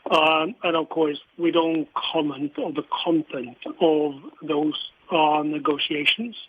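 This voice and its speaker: A man answering as a company executive on an earnings call, in measured, broken phrases. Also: fairly high pitch, steady tone. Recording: phone-like line with faint noise.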